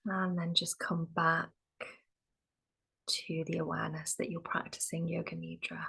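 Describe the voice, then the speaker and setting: A woman leads a guided relaxation as a yoga nidra teacher. She speaks very slowly, leaving long, gentle pauses. Her soft, soothing voice drops into a creaky fry and at times turns breathy. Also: low voice, level tone.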